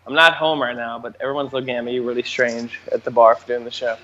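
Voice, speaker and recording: A man co-hosting a podcast, talking in an excitable, stammering way with lots of swearing. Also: medium pitch, quick pace, lo-fi sound, slight background noise. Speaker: podcast co-host. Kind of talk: casual chat.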